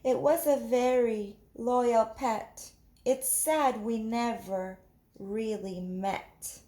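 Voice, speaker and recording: Woman doing a high, accusing little schoolgirl. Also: fairly even intonation, extremely slow, warm tone. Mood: pointed. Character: resentful little schoolgirl, classmate tired of being copied. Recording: roomy echo.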